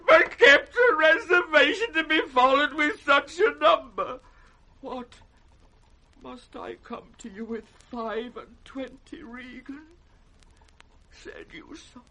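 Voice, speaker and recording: Elderly monarch, high, forceful voice, wounded and near madness, classical radio Shakespeare. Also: male voice, lively intonation, slow pace, exaggerated voice, low-quality recording. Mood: wounded disbelief. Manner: declamatory, swinging between hushed and crying out. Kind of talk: 1960s British radio Shakespeare acting.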